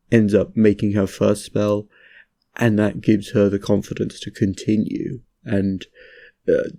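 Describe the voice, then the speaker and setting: A man with a cold, speaking in a stuffy voice as he rambles casually through an anime review, halting with many restarts. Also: on the low side, vocal fry, mellow, no room sound, studio quality. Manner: halting, lots of restarts and fillers.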